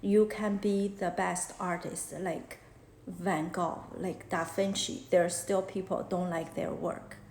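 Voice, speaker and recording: A woman's voice: fairly low pitch, flat delivery, slow, a little mellow, slight background noise. A woman in her thirties from China with a Chinese accent, hosting a casual live chat from a phone and speaking earnestly with many fillers and restarts. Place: small room indoors. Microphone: phone at arm's length. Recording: roomy echo.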